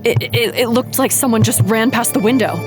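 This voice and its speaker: A woman's voice: fairly high pitch, brisk, music in the background, natural voice. A woman playing an anxious wife and mother in a haunted-house audio drama. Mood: nervous, pleading.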